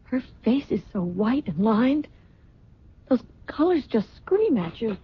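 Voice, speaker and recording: An actress playing a caring, distressed young daughter in an old-time radio drama, anxious, earnest, with a husky, breathy voice. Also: female voice, fairly high pitch, big pitch swings, slow, full character voice. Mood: worried. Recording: dull old radio recording.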